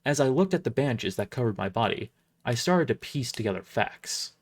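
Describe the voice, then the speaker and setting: A man's voice: mid-range voice, lively intonation, fairly quick. A man reading a story aloud on a livestream in scripted narration, with casual asides.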